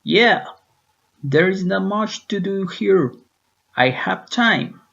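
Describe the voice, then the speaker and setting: Man playing creaky-voiced dead architect's spirit. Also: sits high, lively intonation, slow pace, bright, forceful tone, heavily acted. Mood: calm, reminiscing. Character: spirit of a dead elderly male European architect. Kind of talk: scripted interview role-play in English. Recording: dull lo-fi recording.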